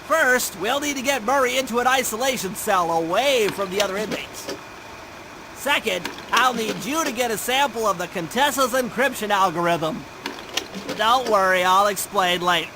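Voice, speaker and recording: An actor playing a nerdy, careful young turtle gadget genius in a video game, nasal and precise. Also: male voice, sits high, expressive, moderate pace, background noise. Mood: earnest briefing. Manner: precise, earnest, bookish briefing. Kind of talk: animated video game cutscene acting. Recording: loud music under the voice. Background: game music.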